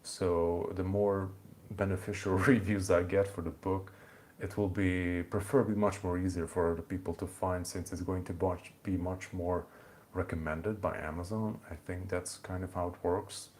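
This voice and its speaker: A man's voice: low voice, steady tone, moderate pace, a little mellow. A young man from Hungary with a Hungarian accent, hosting a rambling, casual live-stream chat from home, full of fillers and restarts. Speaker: channel host. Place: home in the evening.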